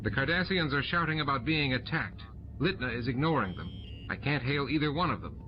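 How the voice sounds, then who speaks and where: A man's voice: medium pitch, expressive, brisk, slight background noise, pitched above the performer's own voice, lightly acted. A man voicing an urgent female space station officer, giving clipped reports in an audiobook. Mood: tense reporting. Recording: muffled audio under loud music.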